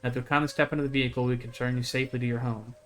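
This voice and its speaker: A man's voice: medium pitch, little pitch movement, racing, a bit bright, natural voice. A man playing a serious, formal male deputy in a visual novel, insistent and procedural in manner. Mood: officious.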